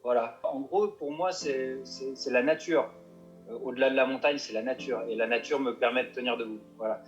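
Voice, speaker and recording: A mountain guide answering interview questions, hesitant and full of restarts and fillers. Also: male voice, medium pitch, fairly even intonation, brisk, muffled recording, soft background music. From Paris suburbs.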